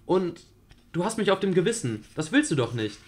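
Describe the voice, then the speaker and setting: A man's voice: big pitch swings, moderate pace, husky, full character voice. A man voicing Julie, a reckless, mocking teenage girl, also reading the stage directions of a radio play. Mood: pleading. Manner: taunting, shouting, high-pitched outbursts.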